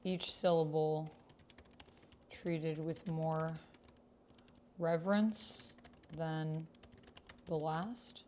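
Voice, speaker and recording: Woman, lowish voice, casual stream chat, musing and self-questioning, keyboard clatter, background noise. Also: fairly even intonation, drawn-out words, long pauses, a little mellow. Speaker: streamer playing a text-based roleplaying game. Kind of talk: casual livestream chat, thinking aloud while reading and typing.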